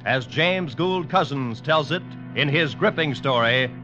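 An actor as an urgent, dramatic announcer introducing adventure tales in 1940s radio style. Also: male voice, on the high side, expressive, measured pace, pushed and bright. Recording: dull old radio recording, music behind.

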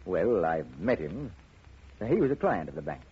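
A man's voice: low voice, big pitch swings, normal speed, husky, heavily acted. An actor playing an indulgent, hesitant older father who fusses and repeats himself in a radio drama. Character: indulgent, flustered older father, a retired banker. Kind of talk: 1950s radio drama acting. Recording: muffled, noisy old radio recording.

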